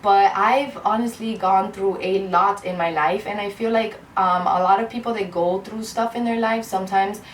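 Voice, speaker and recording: A woman's voice: mid-range voice, fairly even intonation, moderate pace, hardly any pauses, far from the mic. A woman in her twenties from Cuba, chatting candidly to her camera at home in a rambling, heartfelt way. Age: 20s. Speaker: beauty vlogger. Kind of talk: casual chat to camera. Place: room at home. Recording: roomy echo, off-mic, faint hiss.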